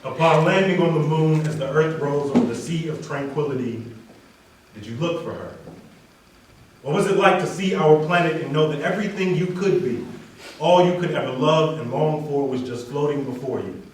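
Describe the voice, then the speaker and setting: A man's voice: a little high, normal speed. A man speaking as a wedding reader, introducing and reciting poetry to an audience. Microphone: distant from speaker. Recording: echoey room, distant mic, faint noise.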